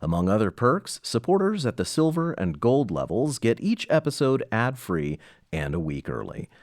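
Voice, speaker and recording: A man's voice: mid-range voice, big pitch swings, normal speed, no echo, clean studio sound. A man hosting and narrating a comic audio drama in scripted style. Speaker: host and narrator. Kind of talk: scripted story narration and announcements.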